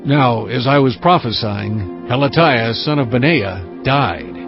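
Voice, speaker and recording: A man playing an earnest prophet who recounts his visions in measured, solemn scripture narration. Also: mid-range voice, close to an everyday voice. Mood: solemn, recounting. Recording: slightly dull audio with music behind.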